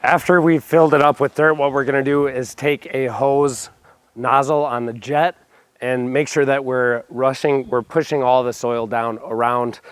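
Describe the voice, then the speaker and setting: A young man hosting a homestead vlog, hoarse from a cold, explaining his backyard planting step by step with enthusiasm. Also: medium pitch, steady tone, moderate pace, no echo. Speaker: homestead channel host. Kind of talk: casual gardening vlog. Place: backyard, outdoors.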